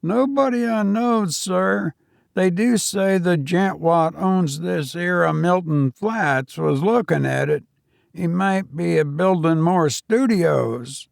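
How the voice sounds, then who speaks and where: A man voicing a nervous, deferential young Cockney servant with rough working-class speech. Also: high voice, slow pace, no echo, clean studio sound, pitched above the performer's own voice, full character voice.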